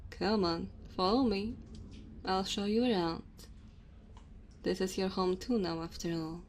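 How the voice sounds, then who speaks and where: A woman's voice: medium pitch, steady tone, unhurried, a little hiss or hum, lightly acted. A woman voicing a dominant, teasing immortal dragon woman in an ASMR roleplay, haughty and speaking straight to the listener. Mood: gentle, reassuring. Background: cave ambience and fire sound effects.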